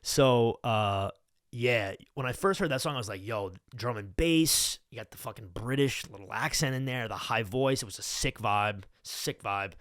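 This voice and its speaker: A man's voice: mid-range voice, moderate pace, a bit bright, no echo. Young guy on a podcast just rambling about music, lots of slang and swearing, hyped fan talk, super dry close mic. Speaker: podcast host. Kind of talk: casual unscripted music chat. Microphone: close podcast microphone.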